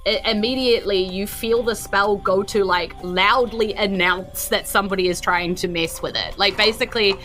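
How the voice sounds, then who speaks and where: A woman narrating a tabletop game as game master, explaining haltingly and acting out small effects. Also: mid-range voice, a bit bright, soft background music.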